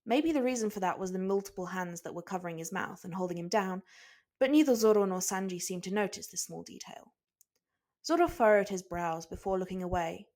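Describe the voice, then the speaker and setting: Female narrator for amateur audio fiction, mid-range pitch, scripted storytelling read. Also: animated, brisk, a little mellow. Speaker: podfic narrator.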